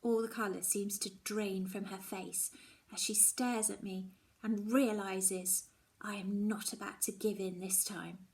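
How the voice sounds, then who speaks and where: A woman reading a children's novel aloud, expressive and dramatic, often dropping toward a hushed tone. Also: mid-range voice, a little slow, gravelly. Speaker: story reader.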